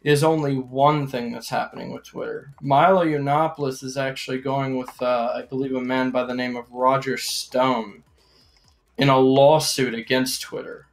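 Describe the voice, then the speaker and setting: A man giving casual livestream commentary, explaining things in a deliberate, hedging way. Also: medium pitch, little pitch movement.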